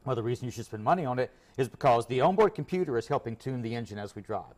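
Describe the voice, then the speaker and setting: A man's voice: mid-range voice, expressive, very fast, darkish tone. A man speaking as a guest expert in a casual interview, explaining things in a plain-spoken, folksy way. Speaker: auto repair shop owner, guest expert.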